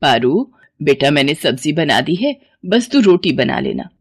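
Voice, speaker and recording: A woman's voice: deep voice, big pitch swings, unhurried, husky, no echo, clean studio sound, pitched below the performer's own voice, exaggerated voice. A woman voicing an exasperated, scolding middle-aged mother. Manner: nagging, exasperated.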